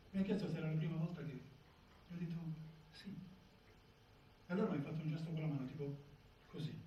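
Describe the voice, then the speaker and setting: A man's voice: fairly high pitch, dark tone, close to an everyday voice. An actor playing a frightened, desolate newly jailed man in a theatrical monologue. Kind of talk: theatrical first-person monologue. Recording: echoey, distant, dull, faint noise.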